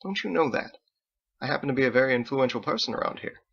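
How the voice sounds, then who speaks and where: An elderly storybook father, acted out by a male reader, effusive and pious. He wheedles and exclaims in a pitch that lifts above the reader's own, with a creaky edge, on a slightly dull recording. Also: animated, fast talker, a bit bright. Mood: pleading. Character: charming, fretful elderly father and tavern keeper, pious.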